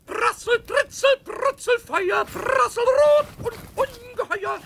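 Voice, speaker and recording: An actor voicing a small, cheeky male kobold in a children's audio drama, with a squeaky, childlike voice and repetitive, singsong speech. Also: slow pace, vocal fry, fairly bright tone, a little hiss or hum, exaggerated voice. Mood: gleeful. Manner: stammering, repeating words, singsong rhymes.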